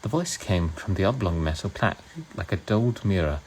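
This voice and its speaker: A man acts out a British narrator of a grim novel in a low voice. The reading is measured and literary, with small stumbles. There is light noise in the background. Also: lively intonation, a little mellow, low-quality recording. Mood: measured storytelling. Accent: put-on British. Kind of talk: audiobook-style novel reading.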